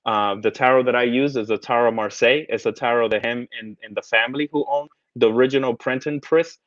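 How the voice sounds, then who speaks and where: A man's voice: medium pitch, fairly even intonation, fairly quick, fairly bright tone, no room sound. Hesitant man, dry dull recording. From United States, raised partly in the Caribbean. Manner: hesitant, many restarts and fillers. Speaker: guest, tarot artist. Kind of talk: casual interview conversation.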